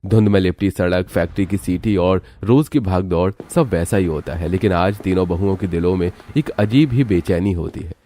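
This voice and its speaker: Deep, mellow male storyteller. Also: normal speed, hardly any pauses. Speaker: narrator. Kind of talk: scripted story narration.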